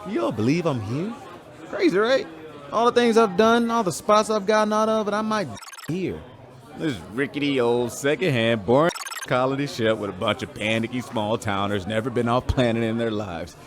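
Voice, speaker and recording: An actor playing an arrogant, loudmouth star pilot in a sci-fi radio drama, boastful and sneering. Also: male voice, on the high side, normal speed, faint music behind, natural voice.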